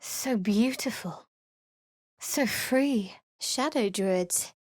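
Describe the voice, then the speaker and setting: A wry secretive cleric. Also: female voice, a little high, very slow, a little mellow, no room sound. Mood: cool. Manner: cool, wry and clipped. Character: guarded young female cleric, secretive, sharp-tongued. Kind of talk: video game voice lines. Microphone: dry close studio mic.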